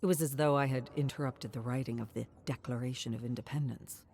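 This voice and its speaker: A man reading a scripted journal as a dramatic narrator, in a measured, literary storytelling manner. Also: medium pitch, steady tone, darkish tone, a little hiss or hum.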